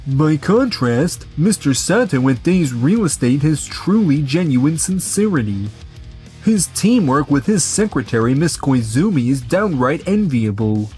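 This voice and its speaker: A man plays a principled business client in a manga dub. The delivery is formal and stern, with cool reproach. Soft music plays behind it. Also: on the high side, big pitch swings, measured pace. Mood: stern, disappointed. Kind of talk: scripted manga dub acting.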